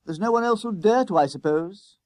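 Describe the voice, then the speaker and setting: Man voicing a high-pitched, blustering schoolboy bully. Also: animated, a little fast, a little mellow, dry recording, clean studio sound. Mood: boastful. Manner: boarding-school slang, blustering. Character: bossy, indignant schoolboy bully, hot-tempered and boastful.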